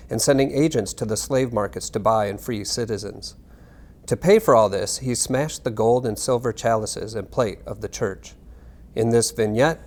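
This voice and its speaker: Man reading aloud in measured, formal tones. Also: medium pitch, a little mellow. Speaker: reader. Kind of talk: scripted reading of narration and historical text.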